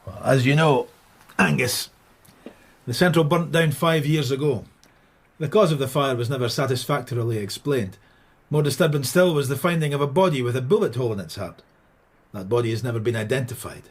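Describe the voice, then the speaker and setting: An actor playing a tired, dogged police inspector with a Scottish accent, dry and probing, in a radio drama. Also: male voice, mid-range voice, a little fast, lightly acted. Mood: measured, probing.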